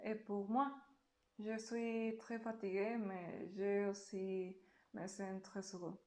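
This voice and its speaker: A woman with a Mexican Spanish accent answers interview questions in a second language. She speaks very slowly and carefully, with pauses. Her voice sounds echoey, as if recorded in a bare room. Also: mid-range voice, little pitch movement, warm tone. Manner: slow, careful speech with pauses.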